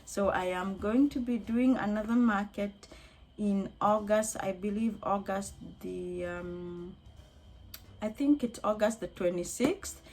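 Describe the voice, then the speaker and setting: A woman with a slightly hoarse voice, chatting casually and upbeat in a rambling vlog recap as a craft hobbyist. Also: medium pitch, slow, a little mellow. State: slightly hoarse from being unwell. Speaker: crochet hobbyist and craft vendor vlogger.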